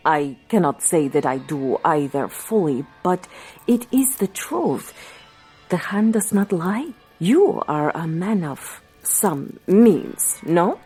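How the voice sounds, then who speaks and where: Radio drama palm reader, female: theatrical, commanding, swooping pitch, unhurried dramatic pauses. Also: sing-song, breathy edge, heavily acted. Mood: insistent. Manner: dramatic, drawn-out pauses, hushed ominous passages, formal phrasing. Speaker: fortune teller. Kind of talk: audio drama acting. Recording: music under the voice.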